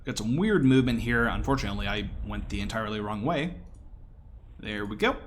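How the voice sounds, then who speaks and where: A man's voice: on the low side, lively intonation, a little fast, slight background noise. A man giving casual, explanatory live commentary as he speedruns a video game.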